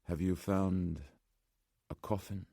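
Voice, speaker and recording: A man voicing an anxious, formal old gentleman with a Slavic accent, speaking deliberately as an audiobook character. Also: deep voice, creaky, no room sound, clean studio sound, exaggerated voice. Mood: grave, insistent. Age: older. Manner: formal, deliberate, agitated but striving to speak calmly.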